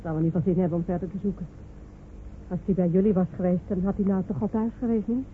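A woman's voice: on the low side, fairly even intonation, fast talker, natural voice. An actress playing a bold, bossy village woman with blustering, earthy speech in a radio play. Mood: disappointed. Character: bold, brash village woman searching for her missing John. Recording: noisy, phone-like old radio recording.

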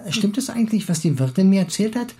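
An excitable, garrulous Russian émigré husband, acted by a man for an audiobook. His mellow, soft-edged voice swings widely in pitch. He philosophizes eagerly and sometimes stalls as he hunts for a word. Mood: musing, talkative. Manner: garrulous, halting when searching for words.

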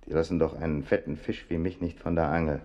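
A defiant jailed bomber and war veteran in a radio thriller. The voice is deep. The delivery is sardonic and scornful, full of bitter contempt for the enemy. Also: male voice, level tone, fast, warm tone, dull audio. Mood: mocking, defiant. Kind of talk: 1970s German radio crime drama acting.

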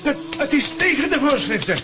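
An actor playing a pompous, power-mad ruler obsessed with efficiency, declaiming in a radio play. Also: male voice, extremely high pitch, sing-song, fairly quick. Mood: alarmed, outraged. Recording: noisy old radio drama recording.

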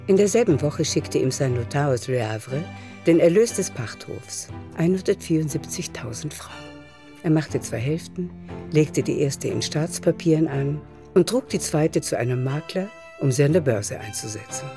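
A woman's voice: sits low, expressive, normal speed. A woman narrating a literary radio play as a scripted storyteller, with faint music underneath.

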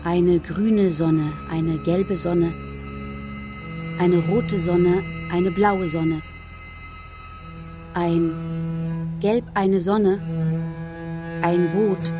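A woman reciting poetry deliberately, phrase by phrase, for a radio drama. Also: fairly low pitch, fairly even intonation. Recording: loud music behind the voice. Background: loud music.

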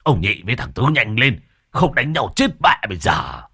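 A man's voice: lowish voice, huge pitch swings, moderate pace, pushed and bright, slightly rough, no room sound, clean studio sound, exaggerated voice. A man voicing a timid, grumbling old village man who fusses and whines. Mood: fussing. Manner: whining, fussing.

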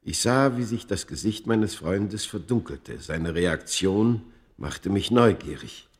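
Low, solemn male storyteller. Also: lively intonation. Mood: reflective. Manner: solemn, measured, dramatic. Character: solemn, proud ancient Egyptian man recounting his own tragic story. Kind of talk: literary first-person narration in a radio play.

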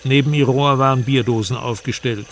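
An actor playing a sober expedition member who narrates his journey for a radio drama in a measured, descriptive way. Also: medium pitch, steady tone, fairly bright tone, a little hiss or hum, close to an everyday voice. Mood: sober, sombre. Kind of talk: scripted first-person radio drama narration.

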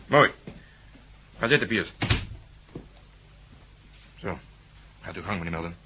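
An actor playing a businesslike police inspector in a radio play, speaking with authority. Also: male voice, low-pitched, animated, slow. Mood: measured. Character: male police inspector, businesslike, orderly, in command. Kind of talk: radio drama acting. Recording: faintly noisy radio play recording.

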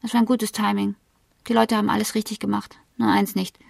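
An actress playing a cool, self-possessed woman in a German radio crime drama, speaking deliberately and matter-of-factly, sometimes almost whispering. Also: mid-range voice, flat delivery, vocal fry, far from the mic. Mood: assertive, proud.

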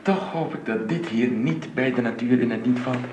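An actor playing a tender, wry and contrite romantic suitor in a Dutch radio play. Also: male voice, medium pitch, normal speed, darkish tone, close to an everyday voice. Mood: wry, playful. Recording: roomy, noisy old radio recording.

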